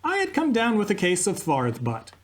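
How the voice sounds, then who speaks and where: A man's voice: high voice, huge pitch swings, moderate pace, over loud music. An actor playing an eager male radio co-host who tells his story in puns. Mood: cheerful. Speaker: co-host of a radio comedy sketch. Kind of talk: live radio comedy acting.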